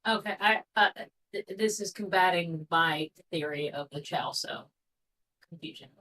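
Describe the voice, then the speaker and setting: A woman's voice: fairly low pitch, unhurried, bright, forceful tone, low-quality recording. A woman in casual chat as a commentator, hesitant and groping for her words.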